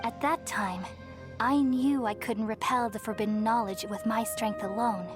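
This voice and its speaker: Game role: serene, selfless ancient goddess, female actor. Higher-pitched, measured, solemn, reassuring, over faint music. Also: fairly even intonation, close to an everyday voice. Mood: solemn recollection. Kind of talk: scripted dramatic game dialogue.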